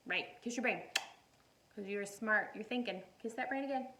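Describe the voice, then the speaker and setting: A woman teacher reading a picture book aloud to young children, animated and encouraging, often putting questions to her listeners. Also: mid-range voice, noisy background. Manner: encouraging, animated, asks questions and pauses for answers.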